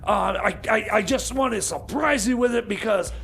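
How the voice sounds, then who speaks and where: Radio comedy role: excitable, scatterbrained time machine mechanic, extremely high strained pitch, gravelly creak, stammering and pushy. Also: male voice, normal speed, a little hiss or hum. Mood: eager, pleading. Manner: stammering, repetitive, pleading.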